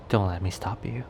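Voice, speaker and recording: Man acting as cocky male werewolf, ASMR roleplay, very slow pace, teasing and flirty, noisy ambient background. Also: on the low side. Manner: teasing, chuckling between phrases.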